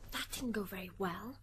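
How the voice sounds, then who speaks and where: A woman's voice: medium pitch, a bit bright, a little hiss or hum. A woman playing an indignant, defensive wife and mother on trial in a radio drama. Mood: dismayed. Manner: aggrieved, defensive.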